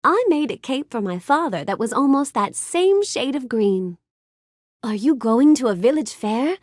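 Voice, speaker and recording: A woman's voice: a little high, big pitch swings, moderate pace, no room sound, studio quality. A woman voicing a gracious, bookish young storybook heroine in a video game, speaking politely and expressively with careful enunciation. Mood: friendly curiosity. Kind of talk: scripted video game character lines.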